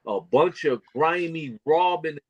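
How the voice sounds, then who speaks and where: A Brooklyn man in his fifties tells stories in an animated way, full of slang, his pitch swinging up and down. He sounds dry and close, with a slightly high voice. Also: a little slow, dry recording, muffled recording. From Brooklyn, New York. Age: 50s. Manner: animated, street slang, lists names. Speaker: guest. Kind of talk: casual storytelling.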